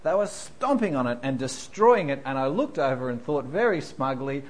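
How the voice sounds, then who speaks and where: A man's voice: medium pitch, moderate pace, poor audio. A man preaching a sermon, telling funny stories about himself before turning serious. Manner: self-deprecating storyteller, animated, laughing through anecdotes. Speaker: pastor.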